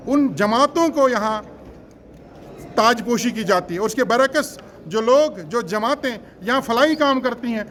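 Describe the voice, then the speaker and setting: A man's voice: very high-pitched, a bit bright. A man delivering a campaign speech as an election candidate, earnest and emphatic, in a formal register with rhetorical repetition. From Jammu and Kashmir, India.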